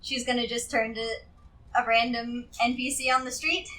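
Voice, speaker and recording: A woman with a high, bright voice chats through a tabletop game. She is giggly and informal as she describes her character's moves. She sounds a little distant from the microphone. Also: little pitch movement, normal speed.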